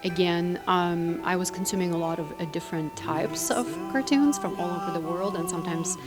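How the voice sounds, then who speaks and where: A woman's voice: lowish voice, fairly quick, music in the background. Reflective woman from Ukraine. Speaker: interview guest, animation filmmaker. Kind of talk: casual interview answers.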